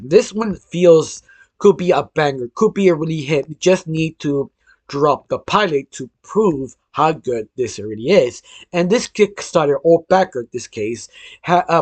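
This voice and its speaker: A man with a hoarse voice from illness, hosting a casual cartoon news roundup, rambling with lots of filler phrases and restarts. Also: a little high, dry recording. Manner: rambling, heavy use of "sort of", frequent restarts. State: hoarse, losing his voice from illness. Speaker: cartoon news channel host.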